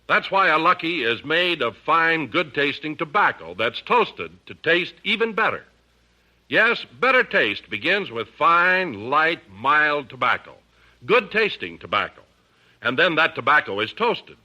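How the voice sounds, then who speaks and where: Forceful, high-pitched male radio announcer. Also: very animated, a little slow, rough recording. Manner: emphatic sales delivery. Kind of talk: 1950s scripted commercial announcing.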